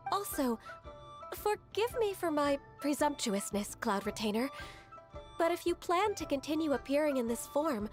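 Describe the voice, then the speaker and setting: A woman voicing a gentle, modest young half-immortal in an anime video game, speaking politely and deferentially. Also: high voice. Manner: polite, soft-mannered, deferential.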